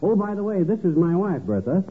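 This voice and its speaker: An actor playing a brash, boastful, wisecracking husband in a folksy, slangy, blustering manner, in a 1940s radio comedy. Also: male voice, high-pitched, big pitch swings, a little fast, slightly rough, full character voice. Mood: breezy. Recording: tinny, noisy old radio broadcast.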